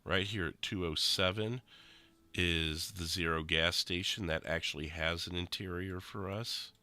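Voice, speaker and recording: Low-voiced man, nasal and rough. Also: measured pace, dry recording. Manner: relaxed, rambling explanations with many fillers. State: nasal and rough from recent illness. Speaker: streamer explaining a roleplay server. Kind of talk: casual gameplay commentary.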